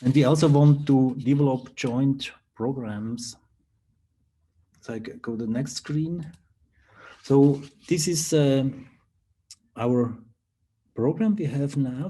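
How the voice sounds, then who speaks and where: A man's voice: mid-range voice, takes it slowly, mellow, slightly rough. A man with a Swiss accent giving an online conference presentation over a video call, hesitant and full of fillers and restarts. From Switzerland. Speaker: university lecturer.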